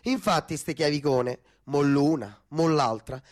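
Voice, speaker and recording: Rough sardonic Roman storyteller, acted by a man. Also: a little high, a little slow, natural voice. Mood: sardonic narrating. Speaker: stage actor-narrator in a radio theatre piece. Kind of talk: theatrical literary storytelling.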